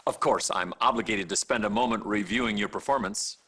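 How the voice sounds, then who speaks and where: An actor playing a smug, vain, middle-aged hospital chief of staff in a video game cutscene, pompous and self-satisfied. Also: male voice, fairly low pitch, brisk, pushed and bright, slightly rough, heavily acted. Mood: mock jovial. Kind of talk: live-action video game cutscene acting.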